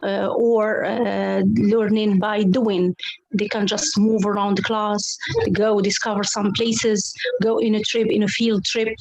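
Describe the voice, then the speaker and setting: Halting woman, slow and hesitant. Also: medium pitch, little pitch movement, darkish tone, rough recording. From Morocco. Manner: hesitant, halting, many fillers and restarts. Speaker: English teacher and club coordinator. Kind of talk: online round table discussion.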